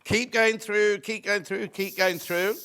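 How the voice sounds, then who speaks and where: Chatty guy hosting live tv shopping, kinda high voice, bit croaky. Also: steady tone, measured pace. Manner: chatty, reads viewer messages aloud warmly. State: slightly croaky voice. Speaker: shopping channel host.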